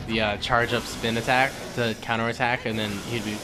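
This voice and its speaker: A man's voice: medium pitch, flat delivery, a little fast, noisy background. A man chatting casually as co-commentator on a video game, with wry, offhand banter. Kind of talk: casual chat over video game footage.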